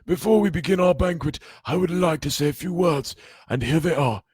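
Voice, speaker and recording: A man's voice: on the high side, a little fast, a little mellow, husky, dry recording, studio quality, pitched above the performer's own voice. A man voicing a jovial, eccentric old wizard headmaster giving a grand welcome.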